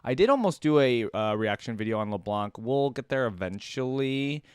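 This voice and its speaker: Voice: mid-range voice, lively intonation, normal speed, a little mellow, clear, resonant tone, no room sound, studio quality. A YouTube host giving casual, informal reaction commentary with short interjections.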